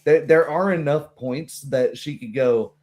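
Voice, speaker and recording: A man's voice: fairly high pitch, unhurried, darkish tone. A man chatting casually about a roleplaying game as its game master, hesitant and searching for words.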